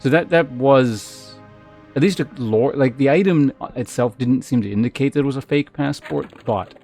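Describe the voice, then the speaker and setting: Guy streaming a game, rambly thinking out loud, normal pitch voice, music in the back. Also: normal speed. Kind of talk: casual gameplay commentary.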